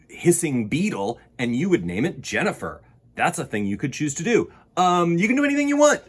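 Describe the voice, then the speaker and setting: A man hosting a children's storytime, chatting casually and encouragingly to young viewers in a husky voice. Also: fairly high pitch. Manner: animated, encouraging, simple direct questions.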